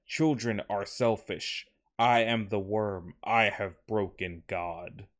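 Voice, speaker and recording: A man as a slow, low, anguished machine being. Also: fairly even intonation. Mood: solemn, anguished. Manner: slow, solemn incantation. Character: anguished, grandiose mechanical being confessing on phonograph.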